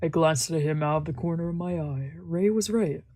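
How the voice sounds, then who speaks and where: A woman's voice: deep voice, brisk. A woman streamer reading a visual novel aloud as narrator during a let's play. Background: soft game music.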